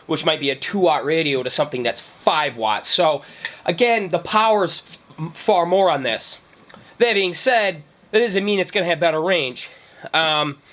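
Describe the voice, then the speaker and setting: Higher-pitched man, informal explainer vlog. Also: fairly bright tone. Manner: rambling, checks in with "right?" and "okay?". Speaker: vlogger, radio hobbyist explaining gear. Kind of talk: informal explainer talk to camera.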